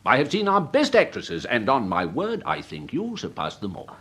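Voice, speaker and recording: An actor playing a courteous elderly English army major with an upper-class accent, urbane and formal, in a period radio drama. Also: male voice, mid-range voice, wildly swooping pitch, normal speed, fairly bright tone, slight background noise. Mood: smooth flattery. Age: older.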